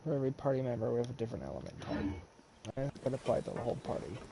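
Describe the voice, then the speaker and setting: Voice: medium pitch, big pitch swings, very fast, creaky, noisy background. A gamer giving casual gameplay commentary. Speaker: gamer, video maker. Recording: game music behind voice.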